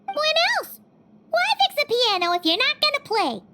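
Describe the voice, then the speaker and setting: Very high squeaky little fairy girl. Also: very animated, moderate pace, heavy background noise. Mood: excited. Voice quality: squeaky, childlike. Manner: perky, refers to self in third person. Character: Paimon, small cheerful childlike fairy companion girl. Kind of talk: English anime game dub acting. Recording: loud music behind the voice.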